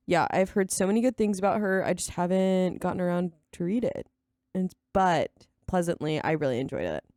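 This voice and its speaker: A woman's voice: on the low side, lively intonation, brisk, dark tone, no room sound. Casual woman co-host, dry sound. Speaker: podcast co-host. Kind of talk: casual chat.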